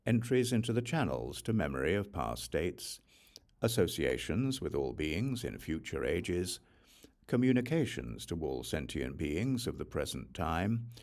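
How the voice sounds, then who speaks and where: Deep, creaky-voiced man reading scripture. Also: a little mellow. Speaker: audiobook reader of scripture. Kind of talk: scripted reading of a Buddhist sutra.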